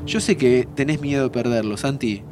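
An actor playing a young time traveller, a loyal and frank friend, with a Rioplatense Argentine accent and informal, expressive talk in an audio drama. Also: male voice, mid-range voice, normal speed, noisy background, lightly acted. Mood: frank, supportive. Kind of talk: naturalistic audio drama acting.

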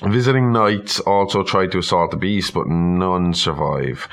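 A man's voice: low voice, unhurried, gravelly. A man co-hosting a podcast, reading a legend aloud and adding informal, irreverent, sweary commentary. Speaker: podcast co-host.

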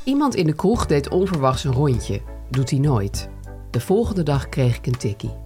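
A woman's voice: low-pitched, very animated, moderate pace, dark tone, soft background music. A woman co-hosting a podcast in casual conversation. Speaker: podcast co-host. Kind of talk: casual chat.

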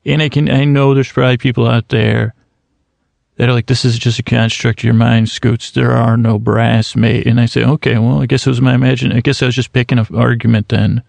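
A man in his thirties drifts through a rambling bedtime monologue with a heavily gravelly, fry-laden voice. His delivery stays flat and unvaried, full of restarts and fillers, close on a dry mic. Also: mid-range voice, no echo. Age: 30s. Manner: slow, meandering, halting with many restarts and fillers. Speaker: sleep podcast host.

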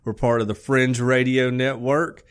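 A man's voice: medium pitch, level tone, normal speed, smooth. A man co-hosting a podcast in casual, rambling chat, laughing often, with a slight head cold. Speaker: podcast co-host.

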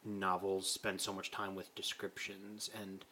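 A man's voice: low voice, completely flat delivery, measured pace, pushed and bright, a little hiss or hum. A man in his twenties co-hosting a podcast, chatting casually and rambling with lots of "like". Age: 20s. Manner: laid-back, rambling with frequent "like". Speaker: podcast co-host. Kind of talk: casual chat.

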